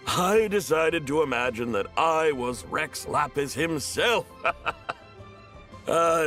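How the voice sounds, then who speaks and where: An actor playing a proud, easily flustered young stage performer in a video game, dramatic and self-important. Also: male voice, medium pitch. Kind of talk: English video game voice acting. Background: game music.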